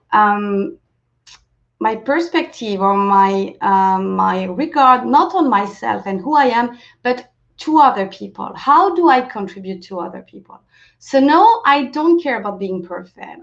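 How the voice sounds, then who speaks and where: A woman from France with a French accent, a native French speaker, leading an interactive coaching webinar in a gently encouraging way and thanking participants for sharing. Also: fairly high pitch. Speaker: self-leadership coach. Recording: lo-fi webinar audio.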